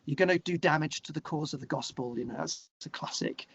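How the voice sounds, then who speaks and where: A middle-aged man speaking earnestly and haltingly as an interview guest, reflecting in a conversational way with emphatic stresses. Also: on the high side, fairly bright tone, husky. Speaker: guest, singer-songwriter. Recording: slightly dull, lo-fi conversation audio.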